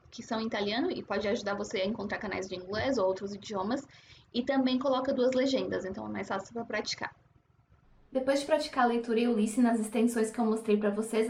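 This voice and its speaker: A young woman walks the viewer through her study routine in a chatty, step-by-step way. Her voice sits a little high, and a faint hiss sits under the talk. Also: darkish tone. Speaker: language-learning host. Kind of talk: casual screen-share tutorial.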